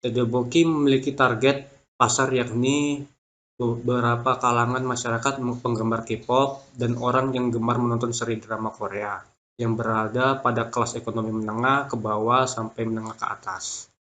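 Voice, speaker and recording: Young male, mid-pitched voice, student presenter reading from prepared text with stumbles and restarts. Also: flat delivery, moderate pace, a bit bright. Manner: stumbles over words and restarts them.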